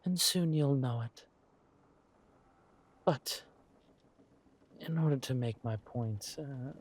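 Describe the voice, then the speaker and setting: A man playing a menacing, sadistic king who speaks with silky politeness and a deliberate, theatrical, taunting delivery in a dramatic roleplay. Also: medium pitch, a little hiss or hum. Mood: cold threat. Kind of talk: dramatic villain roleplay audio.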